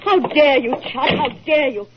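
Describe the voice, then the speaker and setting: Protective, theatrical wife, 1940s radio drama, slightly high voice, dull lo-fi recording. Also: female voice, measured pace, noisy background. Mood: indignant, angry. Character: long-suffering, indignant, protective wife.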